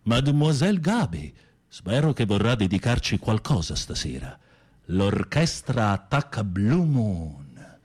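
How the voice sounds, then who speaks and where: A campy, low-voiced theatrical inmate. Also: male voice, sing-song, unhurried, darkish tone, husky, full character voice. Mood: dreamy storytelling. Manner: florid, campy, teasing storytelling. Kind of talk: radio play acting.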